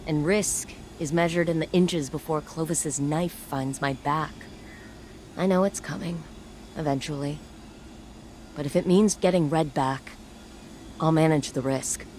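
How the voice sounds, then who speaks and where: An actress playing a wry, weary, stubborn adventurer in a video game, talking reflectively and conversationally over music. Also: female voice, low-pitched, unhurried, pauses often, a little mellow, slight background noise. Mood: reflective, resolute. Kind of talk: video game cutscene monologue. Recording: music behind the voice.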